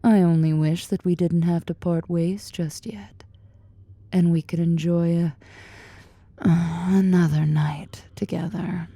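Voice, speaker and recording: A woman playing a powerful, flirtatious female drider in an ASMR fantasy roleplay, speaking in a breathy, commanding, teasing murmur. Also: steady tone, slow, mellow, no echo, exaggerated voice. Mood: sultry, tender. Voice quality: low, breathy, husky murmur. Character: powerful, imperious, flirtatious female drider, half-spider monster.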